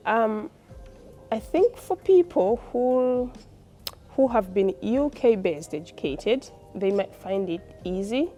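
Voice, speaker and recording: Female, Tanzanian accent, soft dark tone. Student testimonial, informal and explanatory. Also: medium pitch. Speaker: postgraduate student.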